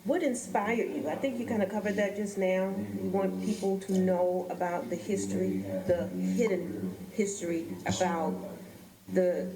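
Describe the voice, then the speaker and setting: A woman's voice: medium pitch, steady tone, a little slow, background noise. A woman hosts a podcast interview in a mid-range voice. The recording has plenty of room echo around her words. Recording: echoey room.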